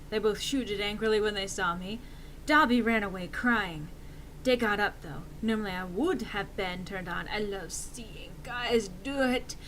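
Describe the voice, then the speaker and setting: A woman's voice: medium pitch, a little hiss or hum, poor audio. A woman giving an exaggerated dramatic reading of fan fiction in a theatrical manner. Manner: theatrical, swinging into shouts for quotes.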